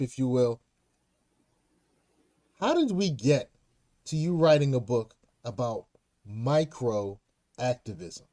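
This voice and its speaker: A man with a somewhat high-set voice hosts an interview show. He is enthusiastic and exclamatory, drops in slang, and repeats phrases so they land. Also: a little mellow. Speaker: talk show host.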